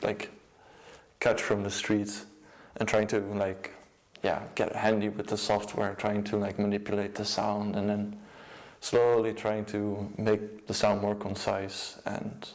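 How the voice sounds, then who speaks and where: Flat-voiced young Dutch man. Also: lowish voice. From Netherlands. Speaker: music producer and DJ. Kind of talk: casual personal account.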